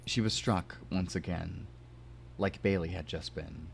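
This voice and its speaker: A man's voice: low voice, fairly even intonation, unhurried, gravelly, noisy background. A man narrating a story aloud, slipping in playful asides. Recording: music behind the voice.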